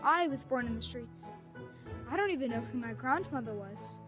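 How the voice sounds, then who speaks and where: A woman's voice: high-pitched, animated, moderate pace. A woman voicing a chatty, cheeky young street arcanist in a video game, theatrical and teasing, with music behind. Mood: breezy, sardonic. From Bosnia and Herzegovina.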